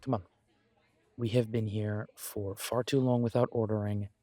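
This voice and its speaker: A man's voice: lowish voice, steady tone, dark tone, no room sound, close to an everyday voice. A man playing a supportive, self-deprecating best friend in a conversational roleplay audio, talking in a reassuring, reflective way. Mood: hopeful. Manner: reassuring, chatty, reflective pauses. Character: warm, encouraging male best friend, self-deprecating, gently earnest.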